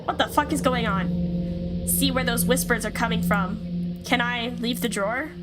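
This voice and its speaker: A woman's voice: a little high, a little slow. A woman streamer reading visual novel text aloud and reacting casually, with swearing. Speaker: VTuber game streamer. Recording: music behind the voice. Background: game music.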